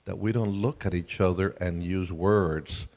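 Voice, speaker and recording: Older-ish colombian guy, deep voice, casual seminar talk, echoing big hall. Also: fairly even intonation, unhurried, darkish tone. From Colombia, South America. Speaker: seminar speaker. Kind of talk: conversational religious family seminar to a live audience. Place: large hall at a camp meeting. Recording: reverberant hall sound system.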